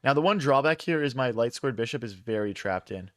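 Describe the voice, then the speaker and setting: A man's voice: medium pitch, lively intonation, no echo. Casual young man streaming chess. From Philadelphia, USA. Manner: thinks aloud, casual slang, quick reactions to chat. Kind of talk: casual live-stream commentary.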